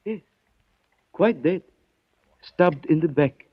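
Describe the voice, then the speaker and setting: A suave, soft-spoken schemer. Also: male voice, on the high side, expressive, slow pace, husky, no room sound, heavily acted. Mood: calm menace. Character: suave, menacing older Chinese man who speaks in proverbs. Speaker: mystery character in a radio drama. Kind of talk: 1940s radio acting. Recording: dull, dry old radio recording.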